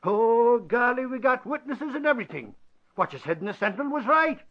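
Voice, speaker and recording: Excitable Irish amateur detective, high, swooping delivery full of exclamations, vintage radio mystery. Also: male voice, brisk. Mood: excited, proud. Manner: folksy, exclamatory. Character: excitable, boastful Irish bodyguard turned amateur sleuth. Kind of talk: 1940s radio acting. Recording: dull lo-fi vintage radio broadcast.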